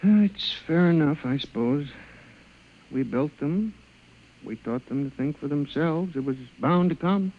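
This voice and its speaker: A man's voice: a little high, expressive, a little slow, narrow, tinny audio, slight background noise. An actor playing an agitated, pleading male convict in an asylum who insists he is sane, in 1950s radio drama style. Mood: rambling, resigned. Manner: pleading, fervent. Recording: old-time radio recording.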